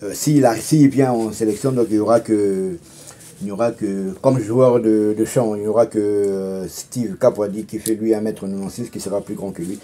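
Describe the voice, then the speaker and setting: A man's voice: on the low side, fairly even intonation, normal speed, mellow. A man hosting a casual football talk show, speaking with many hesitations, fillers and restarts.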